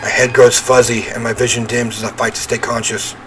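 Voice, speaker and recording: A man's voice: mid-range voice, level tone, brisk, over music, low-quality recording. A man reading a visual novel aloud as he plays, with theatrical, emphatic narration and offhand reactions.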